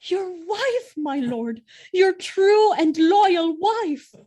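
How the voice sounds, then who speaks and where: A woman playing a loyal, innocent, distressed noble wife in a Shakespearean audio drama, speaking in an earnest, pleading, measured way. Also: very high-pitched, expressive, dark tone, heavily acted. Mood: frightened pleading.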